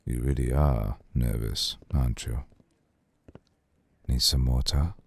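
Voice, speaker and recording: Man voicing an extremely deep, gravelly old vampire lord. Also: steady tone, exaggerated voice. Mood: soothing, seductive. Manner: formal, unhurried, seductive. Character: suave, centuries-old male vampire lord, courteous, cool, possessive. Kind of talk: ASMR roleplay.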